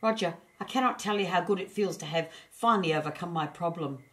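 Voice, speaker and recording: A woman's voice: fairly low pitch, a little fast, a bit bright, natural voice. A woman voicing a shy, kind young male dragon in a storybook, earnest and polite. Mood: earnest, happy.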